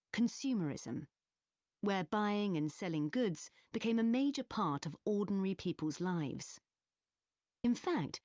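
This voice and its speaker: A woman playing a history student giving a scripted academic presentation in a measured, explanatory manner. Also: mid-range voice, darkish tone, dry recording, lightly acted. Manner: measured, clearly structured explaining. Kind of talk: scripted academic presentation in an exam listening recording.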